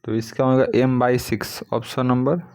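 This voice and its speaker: A man with a medium-pitched voice teaches for a competitive exam. He reads each practice question aloud in a methodical way. Then he states the correct option and moves on. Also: flat delivery, moderate pace, a little mellow, clear, resonant tone. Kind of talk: reading practice questions and giving answers.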